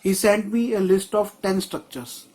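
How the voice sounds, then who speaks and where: A man's voice: sits high, steady tone, measured pace, darkish tone. A man teaching a screenwriting class on a video call, explaining in a loose, rambling way with many restarts. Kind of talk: live online class.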